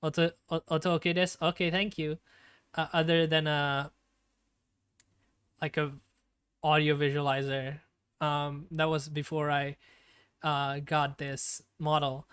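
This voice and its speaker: A man's voice: a little high, completely flat delivery, measured pace, pauses often, a bit bright, smooth, dry recording, studio quality. A man streaming and chatting casually, nervous and stammering, with many fillers, on a home desktop mic. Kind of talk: casual live-stream chat. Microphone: desktop streaming mic with noise gate.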